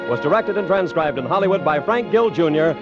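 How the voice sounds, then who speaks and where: American guy doing 50s radio announcing, scripted, swooping pitch, muffled old broadcast sound. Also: expressive, brisk. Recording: dull lo-fi vintage radio broadcast. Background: orchestral music.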